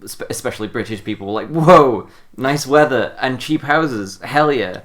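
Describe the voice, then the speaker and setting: A woman's voice: rumbling low, expressive, normal speed. A woman co-hosting a casual, comedic podcast, informal and sweary, often repeating words as she gets going. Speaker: podcast co-host.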